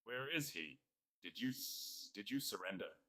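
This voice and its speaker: A frantic imprisoned noblewoman played by a man, pitched up from a deep male register. The voice is cracked and croaky, pleading and defiant in a period audiobook. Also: animated, a little slow, sharp tone, exaggerated voice. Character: desperate, broken young noblewoman prisoner, frantic and defiant. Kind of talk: audiobook drama.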